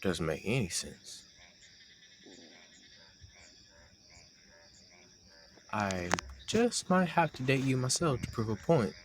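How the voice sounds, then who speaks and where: A man playing a shy, teasing young man secretly in love with his friend, in an intimate audio roleplay with a halting delivery. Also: mid-range voice, big pitch swings, slight background noise, natural voice. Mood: teasing, earnest.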